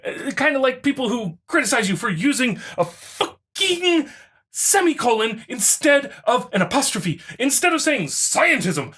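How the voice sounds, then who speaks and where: A performer voicing an indignant, pompous, dim online commenter in a mocking, overblown dramatic reading. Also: high-pitched. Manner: overblown, shouty, swooping delivery.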